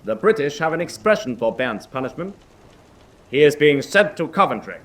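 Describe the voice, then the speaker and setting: An actor playing a stern senior officer, making a formal, declamatory announcement in a radio drama. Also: male voice, fairly high pitch, sing-song, normal speed, a bit bright.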